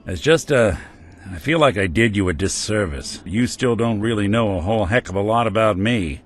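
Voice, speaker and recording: An actor playing a world-weary male synth detective in a game, talking with a gruff, laconic noir drawl. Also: on the low side, animated, normal speed, soft background music. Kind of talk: game companion dialogue.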